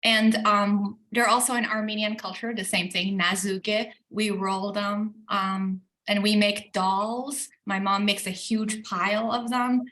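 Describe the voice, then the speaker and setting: A woman's voice: mid-range voice, level tone, a little slow, few pauses, a bit bright. A woman from Iran joining an online class, speaking frankly in short bursts over a video call. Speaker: participant. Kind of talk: casual chat on a video call.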